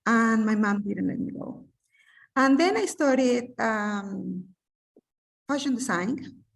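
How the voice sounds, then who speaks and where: Female guest from Mexico, second-language speaker, animated storyteller with many fillers; distant video call sound. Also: a little high, slow, creaky. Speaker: interview guest, businesswoman. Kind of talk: personal storytelling interview. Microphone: remote video call.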